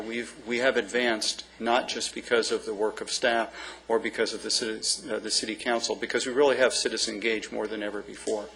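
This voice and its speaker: A man's voice: medium pitch, vocal fry, fairly bright tone, rough recording. A man serving as city manager gives earnest, plain closing remarks at a council meeting, with frequent ums and uhs.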